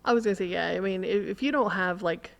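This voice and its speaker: A woman's voice: medium pitch, fast, creaky. An American woman chatting casually on a podcast in a dry, offhand way. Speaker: podcast guest or co-host. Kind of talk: casual chat.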